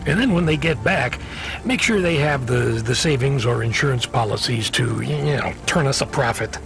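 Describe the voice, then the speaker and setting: A world-weary game dispatcher, an avuncular man with a creaky, gravelly voice at middle pitch. He hedges and hesitates in a folksy, apologetic way. Soft music sits behind him. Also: animated, normal speed. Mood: evasive, businesslike. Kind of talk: video game voice acting.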